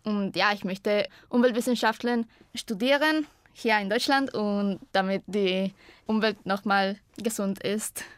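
A woman's voice: mid-range voice, steady tone, measured pace, clear, resonant tone, no room sound. Young woman speaking learner German. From Costa Rica. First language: Spanish. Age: 20s. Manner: hesitant, searching for words. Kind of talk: personal self-introduction.

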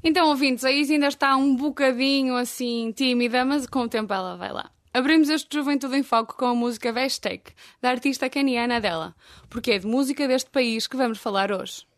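A woman co-hosting a youth radio show in a lively, chatty way. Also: high-pitched, a little fast. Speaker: radio co-host. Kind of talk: youth radio magazine presenting.

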